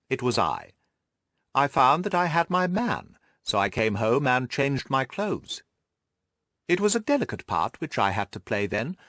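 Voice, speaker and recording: A man reading the part of a shrewd, self-assured gentleman detective, precise and methodical, in an audiobook. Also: medium pitch, dry recording, clean studio sound. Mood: brisk, satisfied.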